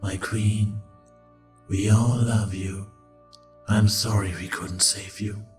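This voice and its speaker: A man's voice: lowish voice, fairly even intonation, takes it slowly, darkish tone, soft background music. A man playing a loyal, resigned creature medic in an audio drama, solemn and deferential toward his queen. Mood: sorrowful devotion.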